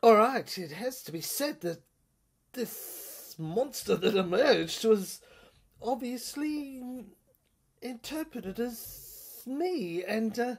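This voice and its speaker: Female voice, rough and husky, for experimental audio drama; theatrical delivery in clipped fragments. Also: fairly high pitch, lively intonation, slow pace. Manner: fragmented, theatrical delivery in short broken phrases.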